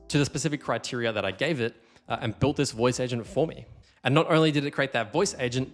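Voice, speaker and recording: A man hosting a casual software tutorial, explaining things conversationally and stressing the key points. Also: mid-range voice. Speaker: tutorial host.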